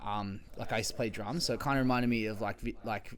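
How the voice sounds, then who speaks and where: A man in his thirties, chatting casually as a podcast guest, full of filler words and quick laughs. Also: on the low side, steady tone, fairly bright tone. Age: 30s. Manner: laid-back, lots of filler likes, laughs often. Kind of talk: casual chat.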